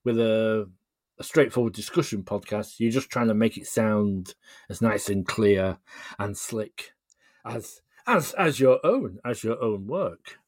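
Male podcast guest, fairly deep register, thoughtful and halting explainer, casual conversation, no room sound. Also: animated, dry recording, clean studio sound. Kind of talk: casual interview chat.

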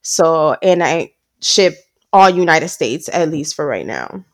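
A chatty woman talking shop as a guest on a podcast, with a lowish voice. She slips into little voices when she quotes people and laughs easily. The recording is close and dry, with no room sound. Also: sing-song, slow pace, no room sound. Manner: chatty, acts out quoted dialogue, laughs often. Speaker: lash artist and trainer, podcast guest. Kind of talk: casual interview chat. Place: based in Tampa.

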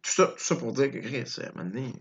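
A man's voice: medium pitch, fast. Casting: young Québécois male podcast host, mid-range voice, colloquial and sweary, joking. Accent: Québécois French. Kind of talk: casual chat with slang and swearing.

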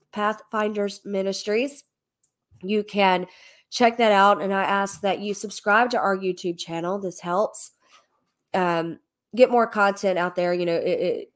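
A woman with a cold and a slightly nasal voice, hosting a church livestream in a chatty way with many ums and restarts. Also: mid-range voice. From Alabama. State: congested from a cold, a little nasal. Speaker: ministry leader hosting a livestream. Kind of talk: casual livestream preaching.

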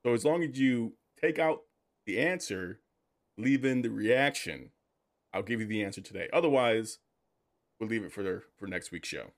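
A playful man on a sports podcast, his pitch moving a lot as he talks. He laughs easily and doubles up his words when he is making a case. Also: animated, normal speed, no echo, studio quality. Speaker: podcast co-host, trivia segment. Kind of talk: casual sports chat.

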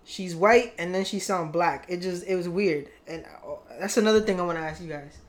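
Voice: mid-range voice, fairly quick. A young adult vlogger telling a story to viewers in a candid, rambling way, full of slang and swearing.

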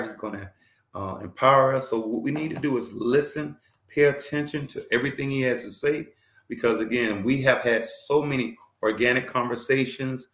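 A man's voice: medium pitch, a bit bright, echoey room. A man hosting a talk show, introducing his guest with effusive praise and plenty of fillers. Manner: effusive, repeats words and fillers. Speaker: host.

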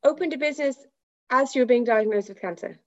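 A woman's voice: high-pitched, fast, darkish tone. A woman hosting a podcast, asking questions and giving brief, encouraging replies. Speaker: podcast host. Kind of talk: interviewing. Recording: dull lo-fi audio.

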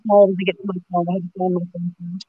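A woman calling in to a podcast, hurried and apologetic. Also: lowish voice, little pitch movement, slightly rough, dry recording. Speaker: guest calling in. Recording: tinny, breaking-up phone line.

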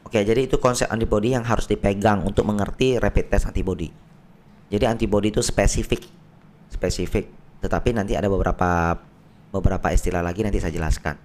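A man, a doctor, explaining medical tests on a podcast in a patient, plain way with everyday analogies, his voice slightly hoarse. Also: lowish voice, quick pace, a little mellow. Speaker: doctor guest. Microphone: podcast microphone.

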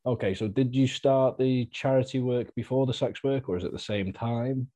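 A man hosting a podcast, chatty and relaxed, with lots of fillers and easy laughs. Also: mid-range voice, normal speed. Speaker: podcast host. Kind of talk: casual interview chat.

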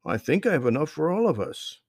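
A cheerful, helpful young boy with a high, eager voice, as a man reading a storybook puts him on. The tone stays gravelly and husky underneath, in a dry, close sound. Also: very animated, brisk, a little mellow, no room sound. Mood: reassuring. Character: young boy Marvin, friendly, cheerful helper.

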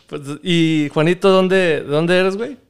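A man's voice: high voice, fairly even intonation, a little slow, noisy background. A man with a Mexican accent hosting a casual, bantering interview, talking in slangy, profane, teasing style. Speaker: podcast host and fellow cook.

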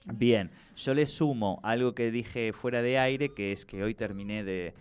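A man's voice: mid-range voice, a little slow, clean tone. A man hosting a radio talk show in a chatty, rambling way, restarting words and laughing often. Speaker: radio host. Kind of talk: casual radio chat.

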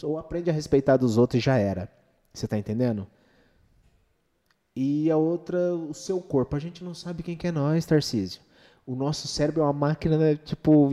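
A man's voice: fairly high pitch, warm tone. A man with a Brazilian accent speaking casually and with opinion in podcast talk, slangy and full of rhetorical check-in questions. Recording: reverberant hall-like room.

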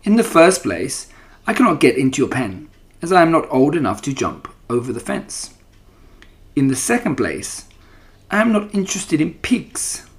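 A haughty, dismissive young lamb, voiced by a man. He speaks in a snooty, curt way, pitched above plain speech. The delivery has a storybook feel. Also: very animated, normal speed.

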